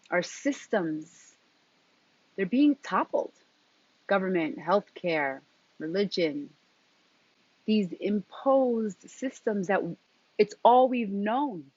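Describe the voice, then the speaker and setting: A woman speaking as a spiritual coach in a motivational talk, deliberately, in short phrases with emphatic repetition and a husky voice. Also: medium pitch, no echo. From New York, of Colombian background. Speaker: transformative coach and intuitive guide. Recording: dull, lo-fi sound.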